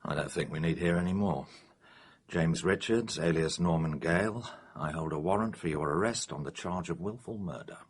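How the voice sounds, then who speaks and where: An actor playing a stern, stolid police chief inspector in a radio drama, speaking bluntly and formally. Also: male voice, sits low, normal speed, breathy edge.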